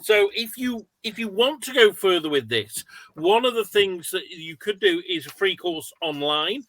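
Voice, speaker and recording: A man with a high-pitched, bright voice hosts an online webinar. His delivery is casual and halting, wandering through explanations. The sound is close and dry. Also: expressive, no room sound, low-quality recording. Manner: chatty, halting, rambling explanations. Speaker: webinar host. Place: indoors on an online video call.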